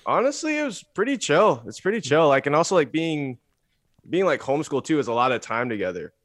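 A young man from the Bay Area, a guest musician talking in a relaxed, rambling podcast chat, peppered with "like" and "you know". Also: on the high side, brisk, dry recording, clean studio sound. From San Francisco Bay Area, USA.

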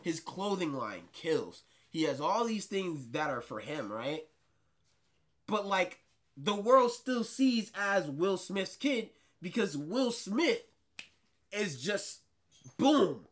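A young man, an actor, chatting casually with a light voice and animated, excitable bursts of pitch and loudness. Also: slow. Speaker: actor guest. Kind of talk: casual chat.

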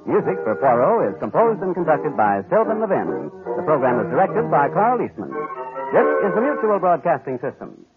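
A man's voice: fairly high pitch, very animated, normal speed. A man announcing in the formal promotional style of 1940s radio. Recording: muffled old radio recording with music. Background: music.

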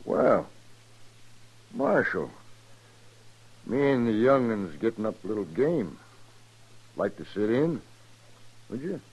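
A man's voice: on the low side, wildly swooping pitch, slow, long pauses, darkish tone, breathy edge, full character voice, poor audio. An actor playing a sly, menacing western gunman who drawls in a mocking, folksy way in a radio drama. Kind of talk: old-time radio western acting.

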